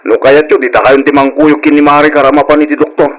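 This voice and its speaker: A man's voice: on the high side, fairly even intonation, fast talker, natural voice, poor audio. A man playing a stubborn, quarrelsome young husband in old-style radio drama, defiant and argumentative in delivery.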